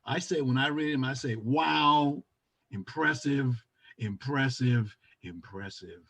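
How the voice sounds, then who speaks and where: A male interview host with a husky, somewhat breathy voice. He asks brief questions and nudges his guest along with short prompts. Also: medium pitch, slow pace, a bit bright.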